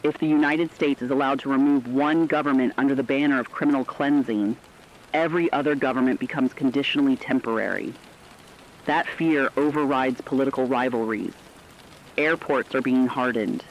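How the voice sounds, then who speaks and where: A man's voice: medium pitch, moderate pace, lightly acted. A sober correspondent in a radio drama, performed by a man, reporting as war looms. His delivery is serious and emphatic, in clipped dramatic phrases. The sound is slightly dull, with faint rain-like noise behind it. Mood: sober, ominous. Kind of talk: scripted emergency radio news report.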